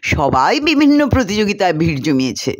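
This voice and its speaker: A woman reading a short story aloud in an expressive, deliberate storytelling manner. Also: lowish voice. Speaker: story reader. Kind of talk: scripted narration of a short story, dramatized dialogue.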